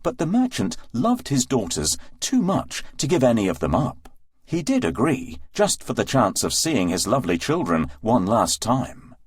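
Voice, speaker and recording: A man's voice: medium pitch, wildly swooping pitch, normal speed, slightly rough, no echo, studio quality. A man narrating a children's fairy tale expressively, as if reading a storybook aloud.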